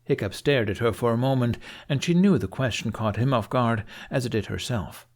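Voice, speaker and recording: A male narrator reads a story in a middle-pitched voice. His delivery is scripted and expressive, shaped for audiobook fiction. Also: moderate pace.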